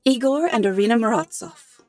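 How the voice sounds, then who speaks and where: Woman playing a poised, lively-pitched woman professor. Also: dry recording, clean studio sound, lightly acted. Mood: composed. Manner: measured, polite, explanatory. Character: poised, articulate female professor hiring a detective. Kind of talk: radio drama dialogue.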